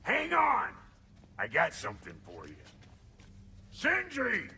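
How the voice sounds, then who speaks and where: An actor playing a brash, gruffly kind tradesman in a game, loud, sarcastic and colloquial. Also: high voice, wildly swooping pitch, slow, big-room sound, slight background noise, exaggerated voice. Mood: brash, teasing. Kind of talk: animated video game acting.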